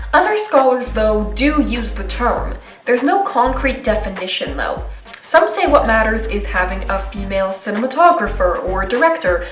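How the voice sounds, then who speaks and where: A woman delivers a scripted video essay in a conversational, wry tone, dropping casual asides between points. Her voice sounds a little distant from the mic, with a roomy echo around it. Soft music runs beneath her. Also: medium pitch.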